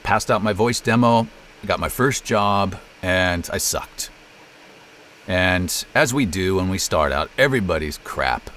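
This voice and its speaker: Very deep-voiced middle-aged American man. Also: animated, unhurried, fairly bright tone, a little hiss or hum. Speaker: interview guest, voice actor and narrator. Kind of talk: casual interview, telling career story.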